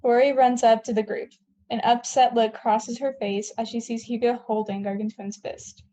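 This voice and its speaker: A woman's voice: on the high side, little pitch movement, normal speed, dark tone, poor audio. A woman reading scripted stage directions at a table read, plainly and with the odd stumble.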